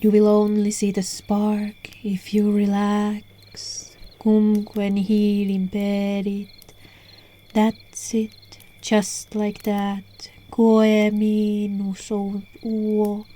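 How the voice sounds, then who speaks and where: Casting: woman as alluring hypnotist witch for ASMR roleplay. Soft, breathy, half-whispered, very slow and soothing, mellow tone, phone-mic recording. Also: medium pitch, monotone. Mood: soothing, hypnotic. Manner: soothing, hushed, unhurried, coaxing. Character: alluring witch casting a sleepy hypnotic spell, gentle, coaxing.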